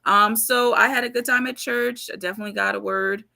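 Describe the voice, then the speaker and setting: A woman co-hosts a podcast in a casual, unhurried way, often pausing with fillers as she looks for her words. Her voice sounds bright and a bit tense, with a gravelly fry running through it. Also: a little high. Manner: relaxed, searching for words with many fillers. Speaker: podcast co-host.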